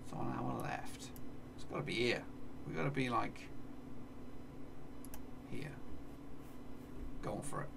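English streamer, 30s, gravelly fry, mic set back with noise behind, self-muttering casual game commentary. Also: male voice, mid-range voice, slow pace, pauses often, distant mic. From England. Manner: mutters place names to himself, swears playfully. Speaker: gaming streamer. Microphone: desk mic, seen in webcam corner.

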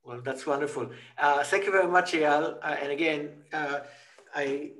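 A man's voice: a little high, moderate pace, a bit bright. Older man moderating a video panel. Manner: friendly, joking, with fillers. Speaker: interviewer and moderator. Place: office with a banner. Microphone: laptop video call.

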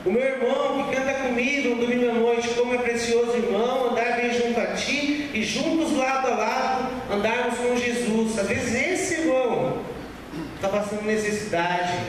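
A man's voice: very high-pitched, fairly even intonation, moderate pace. A man in his thirties with a São Paulo Brazilian accent, preaching an earnest, exhorting church sermon, repeating phrases for emphasis. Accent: Brazilian, São Paulo state. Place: church stage at a pulpit, indoors. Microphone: handheld mic through room speakers, far camera. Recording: echoey noisy hall, recorded from far off.

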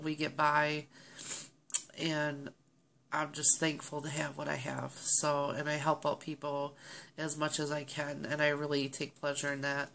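A woman with a low, stuffy, nasal voice talks straight into her webcam from a small home office. She has a cold and rambles in a friendly way, filling gaps with ums and clearing her throat now and then. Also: a bit bright, slight background noise. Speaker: vlogger. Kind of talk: casual chat to camera. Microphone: webcam at desk.

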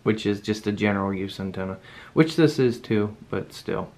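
A man's voice: fairly low pitch, moderate pace, darkish tone. A man giving a casual, unscripted product demonstration, rambling a little as he thinks aloud. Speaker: product reviewer. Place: indoor room at home.

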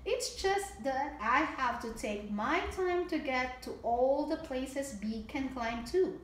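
High-pitched woman reading slowly to young children. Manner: expressive, explains simply to young children. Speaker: early childhood story time host. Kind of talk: children's picture book read-aloud. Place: indoor home room with table. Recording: echoey room with audible background noise.

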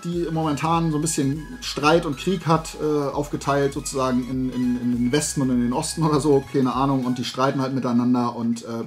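Chatty middle-aged man, higher-pitched voice. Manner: colloquial, rambling, chatty. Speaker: host of a toy and hobby video show. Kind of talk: casual chat, product tasting and recommendations. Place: room at home lined with shelves of toy figures.

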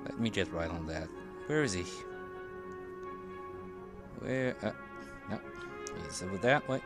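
A man gives casual live game commentary at a slow pace. His low voice swings widely in pitch, and he drops in playful, hesitant asides. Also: lively intonation. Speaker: game streamer. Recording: game music behind the voice. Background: video game music.

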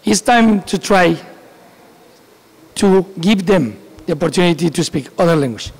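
A man in his forties, a police school director, calmly explaining an educational point in an office. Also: high-pitched, slow, vocal fry. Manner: calm, instructive, laughs easily. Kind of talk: educational segment talk. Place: indoor office with bookshelves. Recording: echoey room.

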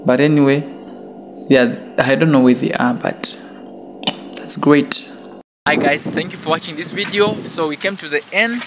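A man's voice: fairly high pitch, fairly even intonation, normal speed, long pauses, background noise. Chatty young man vlogging on a phone. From Namibia. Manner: chatty, repeats "like" and "guys", chuckles often. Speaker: vlogger interviewing people. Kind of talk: casual street-interview vlog. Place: shopping mall and car park, indoors and outdoors. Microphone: handheld phone camera at arm's length.

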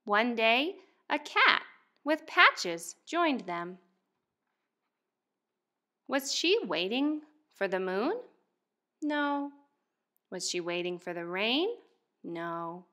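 A young adult woman, a first grade teacher, speaking expressively and clearly to young children as in a picture book read-aloud. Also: a little high, sing-song, slow pace, pauses often, sharp tone.

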